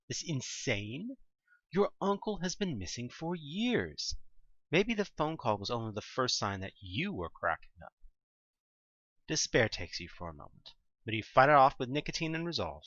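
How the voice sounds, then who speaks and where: A man reading scripted second-person audio drama narration in a deliberate, dramatic storytelling manner. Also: medium pitch, pushed and bright, no echo, muffled recording.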